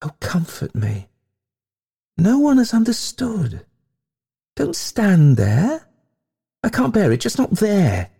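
A man's voice: sits high, wildly swooping pitch, takes it slowly, gravelly, long pauses, dark tone, breathy edge, pitched above the performer's own voice, exaggerated voice. A man voicing a proud, lovelorn female ghost in a storybook reading, with a wailing, melodramatic, pleading manner. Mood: rapturous, pleading.

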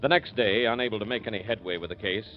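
Low-pitched vintage radio announcer man. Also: fast, slight background noise. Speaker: announcer and narrator. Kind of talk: 1940s radio scripted narration. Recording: muffled old radio recording. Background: faint music.

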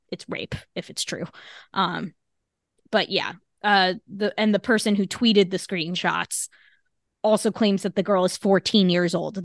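A woman co-hosting a podcast works through the news in a halting, careful way, filling the pauses with fillers and restarting her sentences often. Her voice sits at an ordinary middle pitch. The recording is dry and close, with no room sound. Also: creaky, a bit bright, no room sound. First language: English. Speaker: podcast co-host. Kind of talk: casual podcast discussion.

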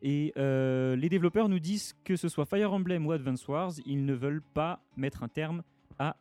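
Man, slightly high voice, casual game-news host, quick jokey asides. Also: mellow, no echo, faint music behind. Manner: hands off segments, quick jokey interjections. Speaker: podcast host. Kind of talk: casual gaming news chat.

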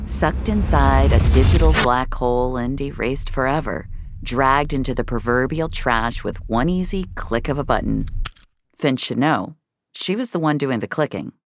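A woman's voice: very deep voice, slight background noise. A woman narrating scripted science-fiction drama with a wry, noir storytelling manner.

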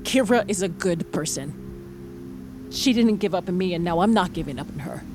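A woman's voice: on the low side, lively intonation, moderate pace, lightly acted. An actress playing a haunted, determined mother in an audio drama, earnest and emotional. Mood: indignant pleading. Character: haunted, determined mother, desperate yet defiant. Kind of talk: audio fiction acting with first-person narration. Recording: music behind the voice.

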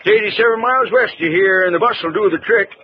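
A man's voice: high-pitched, fast talker, slightly rough, no echo. An actor playing a helpful, sad-faced man at a small-town newspaper, chatty and folksy, in a 1940s radio drama recording. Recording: hissy, dull old radio recording.

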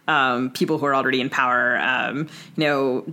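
A woman's voice: extremely low pitch, fairly even intonation, moderate pace, pushed and bright. A woman speaking as a podcast co-host, putting thoughts and questions in a careful, tentative conversational way. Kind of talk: conversational interviewing.

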